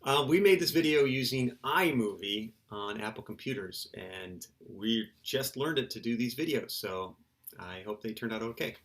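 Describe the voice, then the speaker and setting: A man's voice: medium pitch, animated, normal speed, a bit bright. A middle-aged man, a children's book author, giving casual, playful interview answers in simple terms for young listeners.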